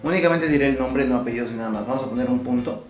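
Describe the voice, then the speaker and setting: A man's voice: mid-range voice, racing. A young adult man with a Mexican accent chatting casually and playfully to viewers as a vlog host, slangy and often repeating himself. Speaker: YouTube prankster host. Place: small indoor room with shelves. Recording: roomy echo, light music behind.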